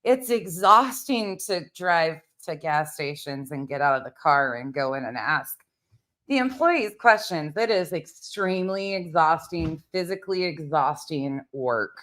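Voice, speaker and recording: A woman with a slightly low voice delivers mocking reaction commentary. She is openly sarcastic and swears freely, with a theatrically exasperated way of talking. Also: expressive, a little slow, fairly bright tone. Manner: sarcastic, profane. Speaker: commentary channel host.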